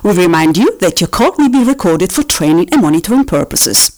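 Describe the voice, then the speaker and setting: A woman's voice: fairly low pitch, very animated, a little mellow. Woman playing a sing-song officious phone-menu voice. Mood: officious, polite. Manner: formal, polite, drawn-out sing-song emphasis on options. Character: bureaucratic automated phone-menu voice, politely officious and relentless. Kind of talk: recorded phone-menu announcement, scripted parody.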